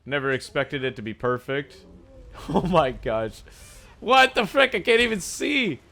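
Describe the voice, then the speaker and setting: A young man with a raspy, sore-sounding voice, casually commenting on his gameplay, often breaking into excited yelps between low mumbles. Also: unhurried. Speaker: gaming YouTuber.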